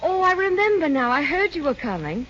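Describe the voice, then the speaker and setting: A muffled old radio play with faint hiss. a headstrong, playful young woman, highish in pitch and very animated, breezy and teasing. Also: a little fast. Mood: friendly, teasing. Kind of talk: old-time radio drama acting.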